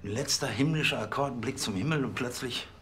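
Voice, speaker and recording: A man's voice: mid-range voice, fairly quick, fairly bright tone, a little hiss or hum, close to an everyday voice. An actor playing an irritable, pompous police chief in a TV crime comedy, hectoring his men. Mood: brisk, pompous.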